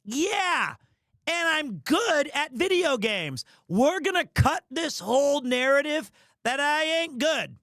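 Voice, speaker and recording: A man's voice: very high voice, sing-song, takes it slowly, fairly bright tone, no echo, clean studio sound. A man hosting a livestream, chatting casually in a rambling, opinionated and playfully defensive way. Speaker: live streamer, host of the show. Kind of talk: casual livestream chat with viewers.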